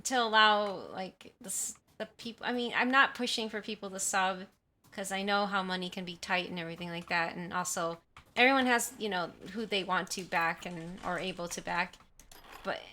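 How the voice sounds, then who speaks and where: A woman streams from a room at home, chatting easily with her viewers through a sensitive desktop mic. Her voice is bright and clear at a middling pitch. She rambles and keeps apologizing, and a little background noise comes through. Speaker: livestreamer. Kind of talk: casual chat with viewers. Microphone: desktop condenser mic at high sensitivity.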